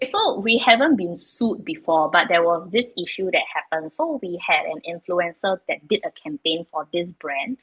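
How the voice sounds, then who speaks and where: A woman's voice: on the low side, expressive, normal speed, few pauses, slightly rough, dry recording, rough recording. A woman in her thirties from Singapore with a Singaporean English accent, a startup founder answering interview questions frankly, in a loose, chatty way full of fillers. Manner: frank, chatty, many fillers like "like" and "you know".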